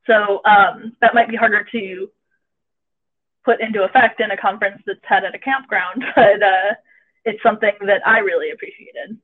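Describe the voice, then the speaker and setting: A man's voice: high-pitched, normal speed. A man on a podcast panel talking casually, searching for the right words as he goes. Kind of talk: casual discussion. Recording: tinny phone-like line.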